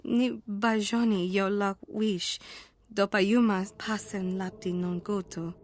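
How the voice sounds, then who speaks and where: An actress playing a guarded, defiant former apprentice in a video game, speaking an invented alien language in clipped phrases. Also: female voice, mid-range voice, fairly even intonation, slow pace, close to an everyday voice.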